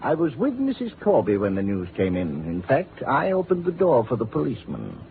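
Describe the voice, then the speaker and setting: A man's voice: mid-range voice, huge pitch swings, fairly quick, vocal fry. A bitter, boozy musician in a vintage radio play. He is florid and sardonic, tongue a little thick, intonation swooping. The sound is narrow and boxy with faint hiss. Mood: bitter, sly. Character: tipsy, bitter, washed-up concert musician in his forties. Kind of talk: 1950s radio drama acting. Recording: narrow, hissy 1950s radio recording.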